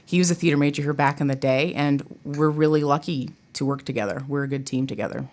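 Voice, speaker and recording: A woman's voice: deep voice, fast talker, a little mellow. A woman chatting informally and expressively as an interview guest, like a college theatre professor. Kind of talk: casual interview chat.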